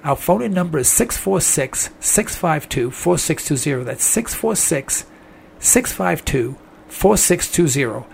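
A man with a hoarse, strained voice hosts a call-in radio show, sounding worn down by a throat infection. His fairly high voice carries a gravelly edge. He rambles in a folksy way, calling his listeners folks. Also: lively intonation, measured pace, a little hiss or hum. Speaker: radio talk show host.

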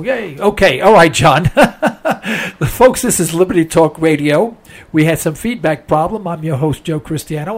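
Man, hoarse, talk radio host, slightly high voice, chatty, apologetic. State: hoarse from a throat infection. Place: radio studio.

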